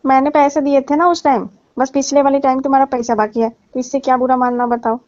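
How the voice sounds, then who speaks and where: A woman's voice: high-pitched, little pitch movement, a little fast, a little mellow, dry recording, lightly acted. Woman playing needy flirtatious aunty, muffled call. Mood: complaining. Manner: pleading, complaining, suggestive. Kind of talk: staged phone-call skit, casual Hindi chat. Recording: dull, lo-fi call recording.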